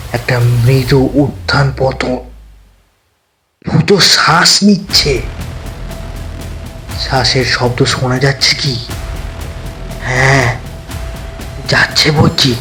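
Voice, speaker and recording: A male narrator tells a horror story with dramatic, deliberate phrasing. His pace is very slow and builds suspense, and faint music runs behind his mid-pitched voice. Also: steady tone, fairly bright tone. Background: faint background music.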